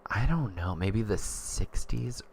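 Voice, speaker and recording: Guy in his 30s, low voice, chatty and rambly, reads chat comments, pitch jumps all over. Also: expressive, takes it slowly, vocal fry, darkish tone, a little hiss or hum. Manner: chatty and rambling, many fillers. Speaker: livestreamer reading viewer comments. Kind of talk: casual livestream chat.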